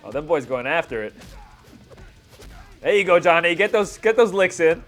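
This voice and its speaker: A man's voice: fairly high pitch, big pitch swings, moderate pace, a bit bright, soft background music. A man in his thirties giving casual reaction commentary as a video host, talking like an enthusiastic fan with slangy asides.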